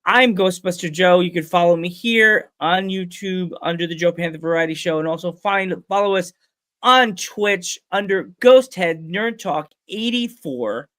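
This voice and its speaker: A stuffed-up man hosting a casual podcast. He chats loosely and laughs often. His voice sits a little high for a man, with a dry, close sound. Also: a little slow, a bit bright, no echo. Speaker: podcast host. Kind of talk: casual chat.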